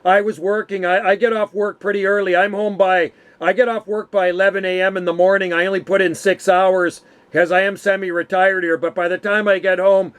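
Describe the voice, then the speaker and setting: Middle-aged man with a high, clear voice. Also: level tone, a little fast. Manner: uses radio jargon, friendly address. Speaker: amateur radio operator. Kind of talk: casual radio contact chat. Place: home radio room.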